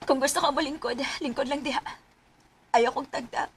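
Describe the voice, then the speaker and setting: A woman's voice: sits high, steady tone, normal speed, slight background noise, lightly acted. An actress playing a frank, teasing young female college student in a radio drama. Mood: subdued. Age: young adult. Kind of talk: Filipino radio drama acting.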